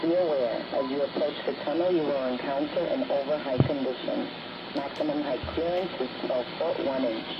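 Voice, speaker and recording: A man's voice: medium pitch, brisk. A man reading a formal recorded safety announcement over the radio. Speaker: tunnel announcer. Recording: muffled radio broadcast under loud noise.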